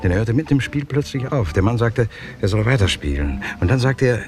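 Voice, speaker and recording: A man playing a courteous, hesitant writer who acts as witness in a 1960s German radio crime drama, speaking in a measured way. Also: medium pitch, very animated, close to an everyday voice. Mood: hesitant, earnest. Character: polite, hesitant male writer turned witness. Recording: 1960s radio play, music behind.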